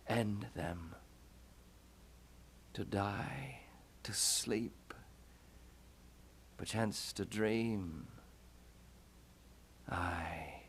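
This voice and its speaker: A man playing a tormented, scornful young prince in classical Shakespearean style, with theatrical, deliberate delivery. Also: mid-range voice. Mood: brooding, hushed. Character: brooding, tormented young prince who turns scornful and furious. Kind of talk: classical Shakespearean stage acting.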